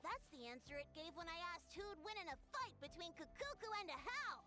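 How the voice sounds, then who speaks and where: Eager, high-voiced village child. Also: female voice, big pitch swings, a little fast, bright, forceful tone, soft background music, heavily acted. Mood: doubtful. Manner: earnest, eager. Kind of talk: video game character acting.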